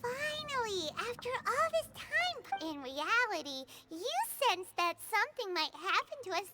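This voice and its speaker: A woman voicing a small, chirpy fairy companion girl in a game, with a high, squeaky voice. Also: very animated, unhurried, heavy background noise, heavily acted. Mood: excited. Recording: game audio with music underneath.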